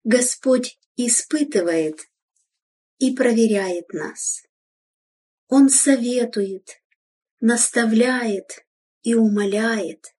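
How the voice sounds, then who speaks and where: Slow, husky woman reading solemnly. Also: fairly high pitch, a little mellow, distant mic. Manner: solemn, measured, exhortative. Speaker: reader of devotional text. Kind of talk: scripted devotional reading.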